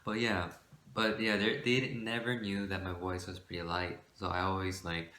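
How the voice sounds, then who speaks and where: A shy young man rambling casually about his light voice, with many fillers, sometimes pushing it deeper. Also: little pitch movement, moderate pace, sharp tone. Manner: shy, rambling, full of fillers and self-corrections; shifts between a light voice and a forced deeper one. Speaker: vlogger. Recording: echoey room.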